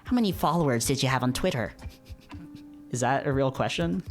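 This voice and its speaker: A man's voice: mid-range voice, lively intonation, fairly quick, soft background music. A man streaming a read-along with casual, rambling, jokey commentary, often repeating himself for emphasis. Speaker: streamer reading visual novels aloud. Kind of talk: casual live commentary mixed with dramatic read-aloud.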